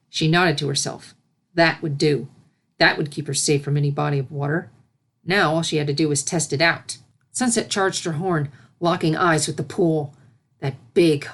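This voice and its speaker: Low-voiced woman narrating a story. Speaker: fan fiction narrator. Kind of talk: expressive scripted story narration.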